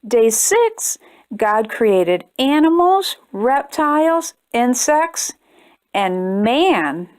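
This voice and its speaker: A woman voicing a gentle mother reading scripture aloud to her child, in a slow, measured recital. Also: a little high, sing-song, smooth, exaggerated voice.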